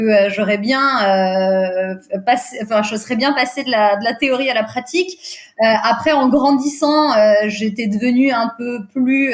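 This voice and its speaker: Chatty woman, slightly high voice. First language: Portuguese. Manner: hesitant, many fillers, laughs easily. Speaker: podcast guest, recruiter. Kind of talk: casual interview chat.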